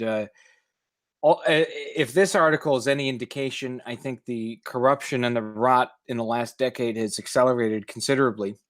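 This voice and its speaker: A man from the United States with a medium-pitched voice comments on the news as a co-host. His delivery is conversational but measured. He halts often and chooses fairly formal wording. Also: a bit bright.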